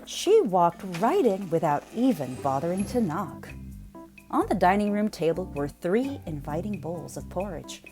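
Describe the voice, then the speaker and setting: Animated woman reading a storybook, unhurried pace. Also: on the low side, music in the background. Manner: playful, expressive, drawn-out emphasis on words. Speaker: storyteller reading a picture book aloud. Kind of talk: animated children's read-aloud narration.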